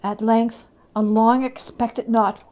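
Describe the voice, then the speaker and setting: A woman giving a dramatic literary reading as storyteller, deliberate and expressive, at times hushed. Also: fairly high pitch.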